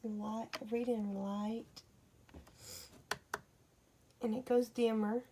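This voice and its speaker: Slow, halting woman, noisy recording. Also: a little high, flat delivery. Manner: plain, halting, pointing out parts. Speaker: product demonstrator. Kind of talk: simple step-by-step product walkthrough.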